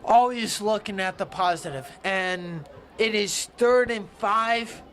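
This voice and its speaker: A woman doing loud, joking play-by-play commentary for a livestream from the press box of an outdoor stadium. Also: mid-range voice, slow, soft background music. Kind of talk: live sports commentary.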